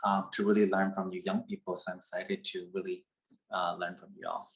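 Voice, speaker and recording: A man's voice: low-pitched, little pitch movement, fairly quick, gravelly. A man in his late twenties from Wisconsin, introducing himself earnestly on a video call as a staff member of a community group. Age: 20s. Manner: earnest, searching for words. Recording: roomy echo.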